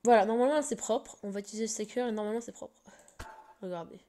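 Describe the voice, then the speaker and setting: Woman with a slight cold, dark-toned voice, halting casual tutorial delivery at a desk mic. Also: fairly high pitch. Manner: hesitant, full of fillers and restarts. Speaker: game tutorial maker. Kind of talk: casual screen-recorded game tutorial.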